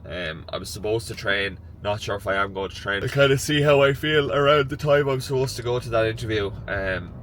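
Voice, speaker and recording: A young Irish man talking casually to camera in a vlog, croaky and nasal from a cold, chatty and rambling. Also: on the low side, fairly quick, hardly any pauses, fairly bright tone, slight background noise. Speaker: vlogger.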